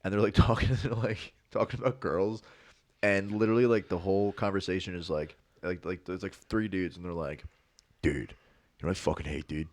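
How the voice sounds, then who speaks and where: A young man with a deep, low voice chats on a podcast, rambling through stories and leaning on "like" at every turn. The sound is close and dry, with no room around it. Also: fairly even intonation, a little fast, dry recording. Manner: rambling storyteller, lots of "like" fillers. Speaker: podcast co-host. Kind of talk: casual chat.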